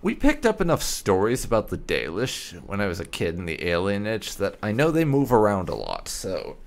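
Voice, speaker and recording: A man's voice: on the low side, wildly swooping pitch, normal speed. A man giving gameplay commentary on a role-playing game, speaking bluntly and with some swearing. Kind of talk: gameplay commentary, partly in character.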